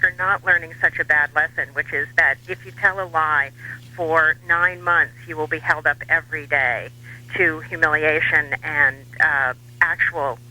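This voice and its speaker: An American man giving political commentary as a radio guest, wry and dryly humorous, with measured hesitations. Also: fairly high pitch, bright, forceful tone, rough recording. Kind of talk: talk radio interview.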